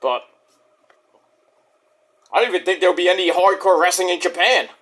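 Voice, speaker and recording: A man's voice: high voice, normal speed, a bit bright. A middle-aged man with a Brooklyn New York accent, rambling boastfully through a casual wrestling review monologue. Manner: boastful, rambling, restarts and fillers.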